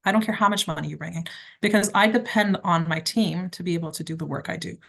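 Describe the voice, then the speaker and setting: A woman's voice: fairly low pitch, fast, creaky. A middle-aged woman from Quebec, a criminal defence lawyer, giving direct, emphatic advice in an interview. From Quebec, Canada. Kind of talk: conversational interview answers.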